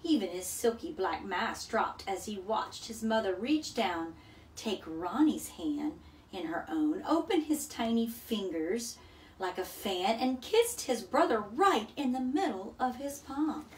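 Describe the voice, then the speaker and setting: A woman's voice: medium pitch, expressive, measured pace. A woman narrating a children's storybook as a story time reader. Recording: echoey room, distant mic, faint noise.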